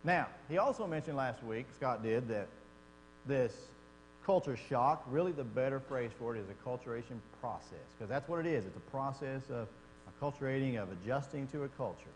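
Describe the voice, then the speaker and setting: A man's voice: mid-range voice, expressive, fairly quick. A man from Texas with a Texan accent, teaching a church Bible class in a folksy, conversational way and asking the class questions. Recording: echoey hall with mains hum.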